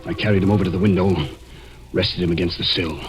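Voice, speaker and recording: An American actor playing a cold, scheming murderer who narrates deliberately and suspensefully in a 1940s radio mystery. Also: male voice, sits low, steady tone, lightly acted, rough recording. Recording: vintage 1940s radio recording, music behind.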